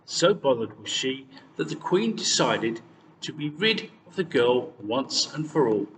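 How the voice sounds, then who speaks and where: A man narrates a storybook tale with very lively intonation. He sounds set back from the microphone, with long reverb and faint noise behind him. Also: mid-range voice, measured pace, distant mic. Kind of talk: expressive scripted fairy-tale narration.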